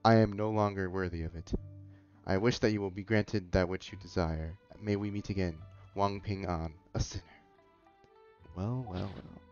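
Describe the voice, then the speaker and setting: A man's voice: deep voice, fairly even intonation, moderate pace, a little mellow. A man giving casual game commentary and reading dialogue aloud, on a dull-sounding close mic with faint music. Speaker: gamer commentating a playthrough.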